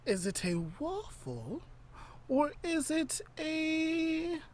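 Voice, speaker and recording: A woman's voice: high voice, sing-song. Playful woman drawing out guesses. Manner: drawn-out guessing questions, playful. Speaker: podcast co-host. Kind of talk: casual word-guessing game chat. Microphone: remote call mic.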